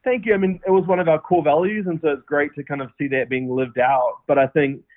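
A man's voice: a little high, fairly even intonation, fast talker, dry recording. A man with a New Zealand accent, a podcast guest answering earnestly with many fillers and restarts. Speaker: podcast guest, company founder. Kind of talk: casual interview answers. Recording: tinny phone-line audio.

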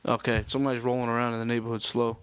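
A man's voice: mid-range voice, monotone, rapid-fire, clear, resonant tone, dry recording. A young man with a New York accent, rambling through frank stories in a casual chat and steering the talk.

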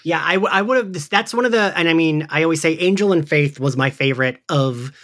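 Excitable, chatty man co-hosting. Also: on the high side, fast talker. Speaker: podcast co-host. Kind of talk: casual fan discussion.

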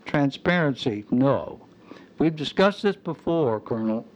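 An actor playing a smug, scheming governor in a radio play, pointed and oratorical, heard through a radio filter. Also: male voice, mid-range voice, animated, unhurried. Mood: condescending. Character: smug, scheming territorial governor, smooth politician. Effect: radio transmission filter. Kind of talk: radio drama acting. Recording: dull, band-limited radio voice.

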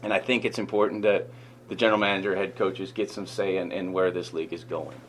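A man, a football head coach and manager, answering in an interview clip with halting speech and frequent restarts. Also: low voice, little pitch movement, slight background noise. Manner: halting, many fillers and restarts.

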